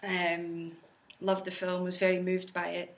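A woman answers questions in a lowish voice with little rise and fall. Her tone is matter-of-fact and understated as she explains her role. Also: monotone, unhurried, slight background noise. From Scotland. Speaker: driver and video editor for the campaign. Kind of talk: casual interview answers.

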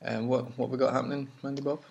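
A man's voice: medium pitch, flat delivery, fast talker, creaky, darkish tone, dull audio. A man hosting a casual chat about his campaign travels, talking in a rambling way with many restarts. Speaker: campaign leader and vlog host.

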